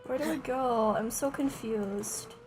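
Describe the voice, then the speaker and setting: A woman's voice: a little high, slow pace, darkish tone. A woman chatting casually and musingly, with some hesitation, as she commentates on a game livestream. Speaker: streamer. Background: faint game music.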